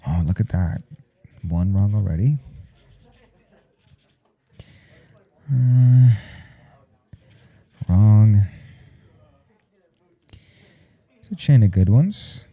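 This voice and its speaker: A man playing a blunt, intimate professor in an ASMR audio roleplay, speaking in a halting, confiding way with a teasing, domineering edge. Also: expressive, highs cut off, exaggerated voice. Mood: taunting, playful. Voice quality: low, gravelly, soft and dark. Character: adult male professor, intimate, callous, earnest, teasingly domineering.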